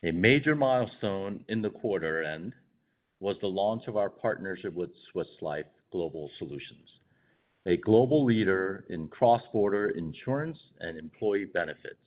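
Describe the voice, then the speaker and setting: A man speaking as a company executive, reading scripted earnings call remarks in a formal, measured way. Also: deep voice, expressive. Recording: dull, band-limited conference call audio.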